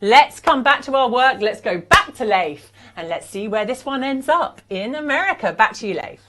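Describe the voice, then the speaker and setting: A woman's voice: a little high, expressive, moderate pace, sharp tone. A woman presenting artwork on a live auction stream, enthusiastic and persuasive.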